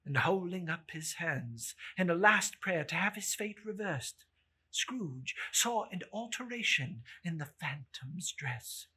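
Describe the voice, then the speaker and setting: A woman narrates a story in a theatrical, solemn manner. Her voice is on the low side and husky, with a bright, forceful edge. She phrases everything deliberately. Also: lively intonation.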